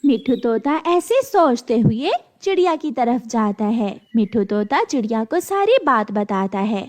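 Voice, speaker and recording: A woman's voice: on the high side, big pitch swings, unhurried, a little mellow. A woman narrator telling a scripted children's cartoon story.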